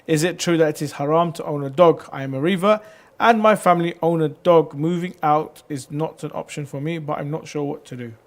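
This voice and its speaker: Casting: male TV presenter reading viewer questions to a sheikh, lively delivery, a bit high-pitched. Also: brisk. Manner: lively, reads messages aloud, stumbles on words. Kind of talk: live call-in Q&A hosting.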